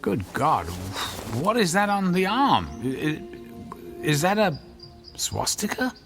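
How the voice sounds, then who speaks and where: A man acting an anxious, weary inventor husband with a halting manner in a radio drama. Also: high voice, very animated, creaky, exaggerated voice. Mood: flustered. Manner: halting, stammering when flustered. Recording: music behind the voice.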